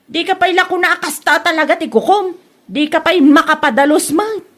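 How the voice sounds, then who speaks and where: A woman's voice: very high voice, fairly quick, fairly bright tone. A woman acting a fussy, mocking village woman in an Ilocano comedy drama, taunting others in an Ilocano accent.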